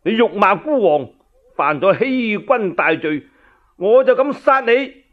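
A man's voice: sits high, lively intonation, takes it slowly, narrow, tinny audio. A man voicing a haughty, wrathful tyrant emperor with an imperious, commanding manner in a storytelling drama. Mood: angry command.

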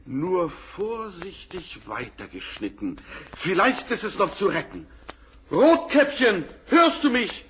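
A man's voice: high voice, very animated, slow, a bit bright, voice pushed up, heavily acted. A man playing a bold, righteous huntsman in a German fairy-tale radio play, speaking declamatorily and scolding, on a crackly old record. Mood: amazed. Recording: distant crackly vinyl record.

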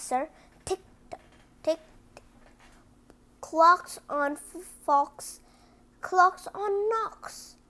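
A woman hosting a children's picture book read-aloud, reading deliberately word by word and chatting to her listeners. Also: high voice, fairly bright tone.

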